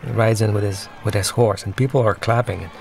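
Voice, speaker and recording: A man with a fairly deep voice and a noticeable fry talks through his own film. He explains scenes in a reflective, halting, conversational way, with soundtrack music clearly underneath. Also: fairly even intonation. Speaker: filmmaker giving commentary on own film. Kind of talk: unscripted film commentary, conversational.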